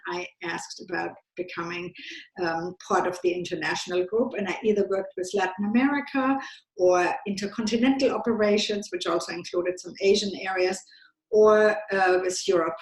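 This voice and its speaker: A woman from Germany with a German accent, answering interview questions in a measured way with frequent ums. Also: mid-range voice, echoey room, poor audio. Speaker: guest.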